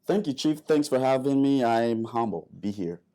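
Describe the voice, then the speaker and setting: A man from Liberia telling his story in an interview, halting with many fillers and restarts. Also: medium pitch, animated, dark tone. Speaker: interview guest, airman.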